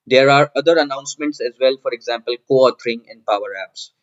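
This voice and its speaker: A man narrating a software walkthrough as a tutorial presenter, explaining step by step and often repeating phrases. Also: medium pitch, fairly quick. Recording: dull, lo-fi microphone sound.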